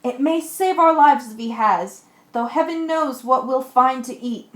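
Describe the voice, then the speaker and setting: A woman's voice: high-pitched, measured pace. A woman playing a bold, practical, commanding ship's mate in an amateur radio play. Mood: curious, determined. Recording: echoey room.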